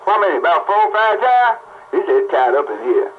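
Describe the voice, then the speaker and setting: Guy bantering on a cb, sharp high voice, kinda muffled radio sound, repeats himself. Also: brisk. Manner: animated, repeats phrases for emphasis. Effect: heard through a CB radio speaker. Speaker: CB radio operator. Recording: muffled CB radio with faint noise. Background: faint radio noise.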